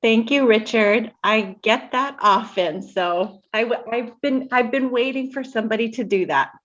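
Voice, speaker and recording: A woman's voice: a little high, moderate pace, a bit bright. A middle-aged woman taking her turn on an online town panel, talking informally in slightly dull audio. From Hawaii. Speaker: invited speaker. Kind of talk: informal personal talk at community event.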